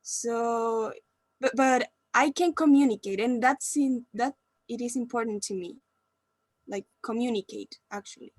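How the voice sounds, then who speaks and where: A woman's voice: a little high, unhurried, no room sound. A young woman with a Spanish accent, a university student agreeing thoughtfully in a class discussion.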